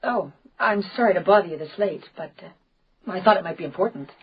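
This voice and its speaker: A woman's voice: lowish voice, animated, brisk, slightly rough, heavily acted. An actress playing an intense, stubborn, wounded and determined woman in a radio drama. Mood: anxious, eager. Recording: dull, faintly noisy radio drama recording.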